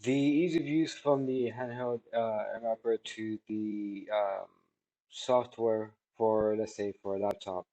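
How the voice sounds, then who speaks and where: Low, mellow man, halting and measured. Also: poor audio. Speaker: technology product reviewer. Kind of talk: spoken testimonial answering questions. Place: small indoor office room by a large window.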